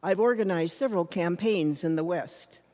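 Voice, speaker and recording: A woman's voice: lowish voice, moderate pace, mellow, natural voice. Proud suffragist orator in an echoing hall, played by a woman. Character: proud, defiant female American suffragist orator. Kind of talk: readers theater monologue, public speech. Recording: reverberant hall, long echo.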